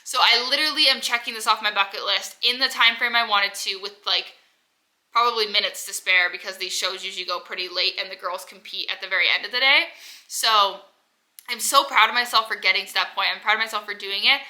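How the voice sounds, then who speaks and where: A young woman in her twenties chats to camera in a loose, rambling vlog, her talk full of "like". Her voice is very bright and sharp, and she sounds stuffy from a head cold. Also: mid-range voice, a little fast, rough recording. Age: 20s. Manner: rambling, heavy use of "like". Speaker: vlogger. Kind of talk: casual personal vlog.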